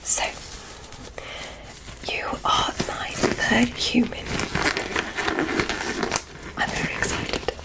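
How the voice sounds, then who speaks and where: A woman voicing a polite, curious alien doctor in a whispered ASMR roleplay, gently formal as she explains things. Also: drawn-out words, long pauses, rough recording. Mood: delighted, welcoming.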